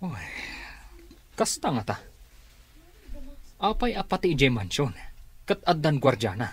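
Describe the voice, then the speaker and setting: A man's voice: medium pitch, very animated, a little slow, husky. A man acting in a radio drama as a suspicious, gossipy office worker with an Ilocano accent. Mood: wary, scheming. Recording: music behind the voice.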